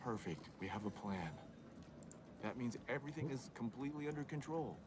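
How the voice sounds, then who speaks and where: A man's voice: mid-range voice, expressive, natural voice. An actor playing a male scientist in a game who keeps reassuring his boss that all is fine. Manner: reassuring, fast, placating. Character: male lead geneticist, smoothly reassuring. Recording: distant game audio with clear noise.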